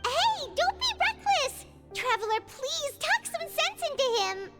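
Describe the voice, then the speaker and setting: A woman voicing a tiny, excitable fairy-like companion in a video game, with a squeaky, childlike voice. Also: a little slow, heavily acted. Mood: alarmed. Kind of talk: animated video game voice acting. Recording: reverberant, music behind.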